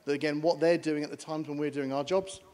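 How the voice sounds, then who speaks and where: Brisk British man presenting in a hall. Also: a little high, fairly even intonation, a little mellow. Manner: brisk, explanatory, lecturing from slides. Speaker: panel speaker on air traffic communication. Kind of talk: conference presentation. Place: large conference hall. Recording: long hall reverb.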